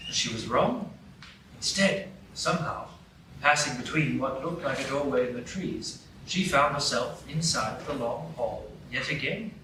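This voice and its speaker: A man narrating a storybook tale in a live radio play, measured and steady, heard from afar in an echoey room. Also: medium pitch. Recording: echoey stage from far off, noisy.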